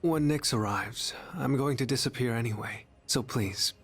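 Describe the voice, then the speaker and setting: An actor playing a gentle young harbinger of death in human form for a video game, speaking softly with grave, resigned, pleading delivery. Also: male voice, medium pitch, moderate pace, faint music behind, natural voice. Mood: sorrowful, pleading. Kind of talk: English video game voice acting.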